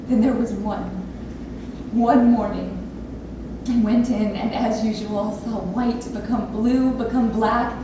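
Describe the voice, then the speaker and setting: Actress as intense grown daughter, echoey distant stage. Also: female voice, a little high, close to an everyday voice. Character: grown daughter of an abusive father, pleading, intense. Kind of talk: staged play reading monologue. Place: theatre lab room with an audience area. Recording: very echoey room, distant mic, noisy.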